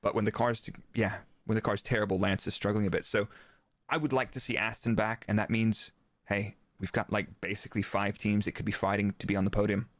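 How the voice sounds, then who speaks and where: A man's voice: fairly low pitch, flat delivery, brisk, no room sound, studio quality. A man giving casual, informal technical motorsport analysis as a guest expert in a live interview. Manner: explanatory, informal, illustrates with quoted remarks. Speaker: guest expert, former Formula 1 performance engineer.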